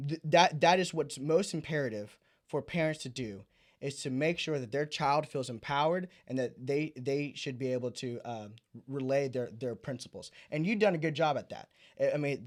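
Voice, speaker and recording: Upbeat male co-host, a touch high-pitched, affirming. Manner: encouraging, affirming. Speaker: guest host. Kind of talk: talk show co-hosting.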